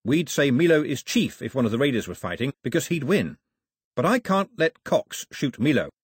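A man's voice: medium pitch, sing-song, moderate pace, breathy edge, no echo, studio quality, voice pushed up. Man as a determined island boy leader. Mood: earnest.

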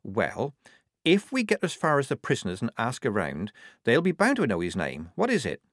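A man voicing a sensible, measured young woman soldier in an audiobook. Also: medium pitch, huge pitch swings, dry recording, clean studio sound. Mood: practical, cautious.

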